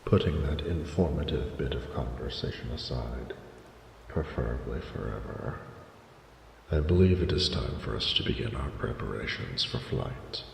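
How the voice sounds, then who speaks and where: A man's voice: extremely low pitch, lively intonation, moderate pace, heavily acted. A man voicing an ancient, proud and flirty male dragon with pompous, archaic, formal speech in a romantic audio roleplay. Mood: composed. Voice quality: gravelly. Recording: roomy cavernous reverb with noticeable noise.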